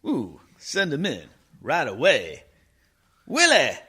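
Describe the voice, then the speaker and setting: An actor playing a brash, excitable talent agent obsessed with being cool in a comic radio play. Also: male voice, a little high, slow pace, slightly rough, heavily acted. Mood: brash, bossy.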